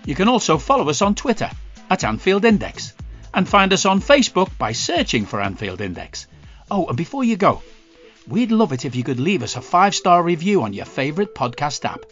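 A man's voice: high-pitched, very animated, fairly quick, a bit bright, highs cut off, soft background music. A man announcer giving a scripted podcast outro as an upbeat promotional read. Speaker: network announcer.

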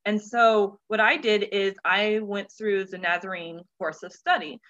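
A woman's voice: medium pitch, fairly bright tone, muffled recording. A woman in her twenties, a children's pastor, chatting candidly and informally as an interview guest. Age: 20s. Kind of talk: casual interview chat.

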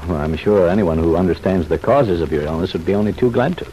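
Man acting a low, mellow, reassuring psychiatrist. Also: dull audio, lightly acted. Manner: soothing, formal, measured phrasing. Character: kindly reassuring male psychiatrist, genial, patient, professional. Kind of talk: old-time radio soap opera acting. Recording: faintly noisy vintage radio recording.

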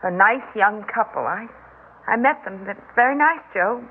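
A woman's voice: medium pitch, lively intonation, moderate pace, lightly acted. A narrow, telephone-like old radio recording with faint noise carries a woman playing a middle-aged foster mother. She is weary and practical, placating and hesitant in the classic radio drama manner. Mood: hesitant, earnest.

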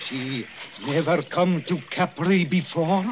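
Slow, spooked Italian boatman. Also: fairly high pitch. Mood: fearful, insistent. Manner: halting broken English, ominous. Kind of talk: 1940s radio acting. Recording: noisy, muffled vintage radio recording.

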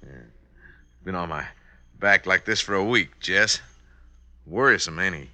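An actor playing a wry, scheming older outlaw brother in a radio western, with a gravelly American voice and terse, folksy talk. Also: male voice, low voice, measured pace, pushed and bright, no room sound, exaggerated voice. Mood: folksy, hearty. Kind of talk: old-time radio western acting.

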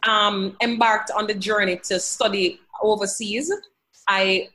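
A woman's voice: mid-range voice, fairly even intonation, measured pace, bright, forceful tone, low-quality recording. A woman guest on a podcast interview, speaking earnestly from her home office and quoting scripture. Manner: earnest, faith-filled, quotes scripture. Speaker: podcast guest, language educator. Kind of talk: conversational interview answers.

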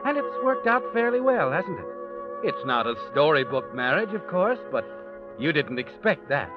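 A man's voice: on the high side, sing-song, moderate pace. An actor playing a knowing, sardonic, insinuating male narrator of a 1940s radio mystery. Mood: knowing, sly. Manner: addresses the character as "you", insinuating. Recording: dull vintage radio, loud music behind.

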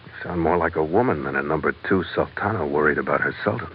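Low-voiced american sea captain, tough and wry, old radio drama, muffled hissy recording. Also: male voice, fast talker. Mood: bemused, sardonic. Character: wry, tough American ship captain narrating his own adventure. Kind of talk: 1940s radio adventure acting, first-person narration.

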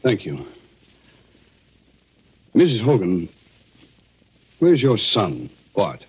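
A man's voice: lowish voice, wildly swooping pitch, drawn-out words, a little mellow, slightly rough, heavily acted. An actor playing an earnest, probing man who narrates a murder mystery in a grave, formal 1940s radio style. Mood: pressing, probing. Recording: dull old radio recording with music.

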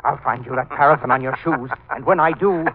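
An actor playing a cornered male poisoner in a 1940s radio drama, with a melodramatic, excitable villain's delivery. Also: mid-range voice, a little fast, lightly acted. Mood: sinister, uncertain. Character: cornered male poisoner, smug turning panicked. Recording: tinny, narrow old radio recording.

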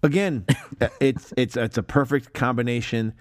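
Joking podcast host, dry close sound. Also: male voice, mid-range voice, measured pace, no room sound. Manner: joking, swears, laughs often. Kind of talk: casual podcast chat.

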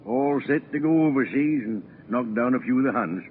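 A man's voice: medium pitch, lively intonation, moderate pace, phone-quality sound, natural voice. A man playing a contented middle-aged farmer and proud father in a 1940s radio play, folksy and clipped. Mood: pleased, proud. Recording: noisy band-limited 1940s radio.

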